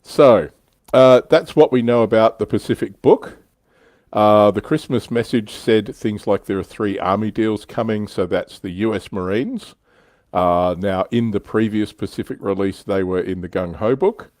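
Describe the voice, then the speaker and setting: A man's voice: on the low side, moderate pace, a little mellow, no echo. A man hosting a casual hobby livestream, telling stories in an explanatory way with some swearing. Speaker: livestream host.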